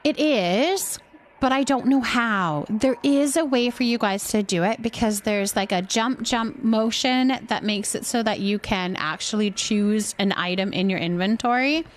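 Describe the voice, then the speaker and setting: A woman's voice: fairly high pitch, measured pace, a little hiss or hum. A woman hosting a game livestream, chatting casually with viewers and helpfully explaining steps as she plays. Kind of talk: casual livestream chat while playing.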